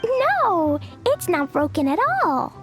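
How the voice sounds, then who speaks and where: A woman's voice: very high voice, very animated, slow pace, a bit bright, faint music behind, heavily acted. A woman voicing a small, childlike, innocent and evasive video game character. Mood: nonchalant.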